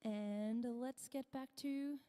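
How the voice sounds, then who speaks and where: A woman streamer chatting casually with her viewers, her voice hoarse and tired, half whispering. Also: high voice, slow, dark tone, in a large hall, soft background music. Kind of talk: casual live-stream chat.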